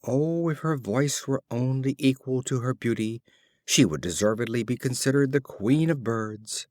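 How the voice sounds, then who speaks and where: A man voicing a sly, flattering fox in a storybook fable, smooth-talking and mock-admiring. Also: medium pitch, normal speed, no room sound, clean studio sound, natural voice.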